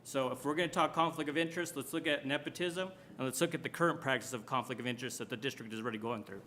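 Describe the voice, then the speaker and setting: A man's voice: mid-range voice, very fast, pushed and bright, a little hiss or hum. A man answering as a school board candidate, pressing his case with pointed rhetorical questions. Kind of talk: forum answer, argumentative.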